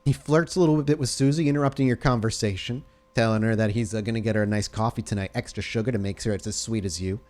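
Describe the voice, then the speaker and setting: A man's voice: on the low side, fairly even intonation, fast, few pauses, darkish tone. A man improvising narration as game master of a tabletop game, describing each scene in detail.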